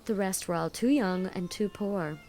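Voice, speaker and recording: A woman's voice: mid-range voice, moderate pace, dark tone, natural voice. A woman playing a giddy, flighty eighteen-year-old girl. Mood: chatty. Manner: gushing, chattering, playfully dramatic. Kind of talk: audiobook dramatization.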